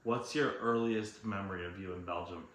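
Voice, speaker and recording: A young adult man conducting a casual interview, asking relaxed, chatty questions with frequent laughter. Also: low-pitched, normal speed, a little hiss or hum. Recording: echoey room.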